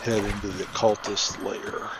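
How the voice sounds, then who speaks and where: A man's voice: lowish voice, flat delivery, a little slow, over loud music. A man giving casual, informal gameplay commentary, thinking aloud as he plays. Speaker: gamer, playthrough host.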